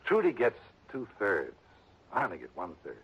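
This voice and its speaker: A man's voice: lowish voice, huge pitch swings, moderate pace, breathy edge, exaggerated voice. An actor playing a lazy, blunt man who inherits from a dead relative, drawling and defensive, in a 1960s radio drama. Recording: dull lo-fi old radio broadcast.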